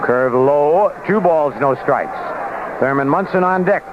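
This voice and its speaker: A man's voice: fairly high pitch, lively intonation, a little slow. An American man calling baseball play-by-play live on vintage radio. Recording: noisy, dull vintage AM radio broadcast.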